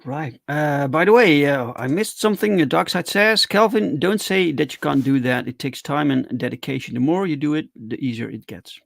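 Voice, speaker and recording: Brief: host, gravelly slightly raised voice, mild stutter, dry close sound. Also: male voice, on the high side, animated, moderate pace, few pauses, dry recording. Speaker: podcast host. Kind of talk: casual interview chat.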